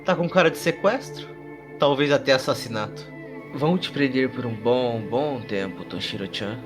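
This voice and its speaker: A man plays a smug cyberpunk reporter with a medium-pitched voice. He taunts and needles in a drawn-out, mocking way, with prominent music behind him. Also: lightly acted. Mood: smug taunting. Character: taunting, smug male news reporter confronting a criminal. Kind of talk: cyberpunk audio drama acting.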